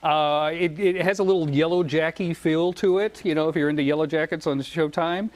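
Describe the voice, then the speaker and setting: Male morning-show interviewer, slightly high voice, effusive, repeats himself for emphasis. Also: steady tone, fairly quick. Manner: effusive, often repeats phrases. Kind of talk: enthusiastic broadcast interview chat.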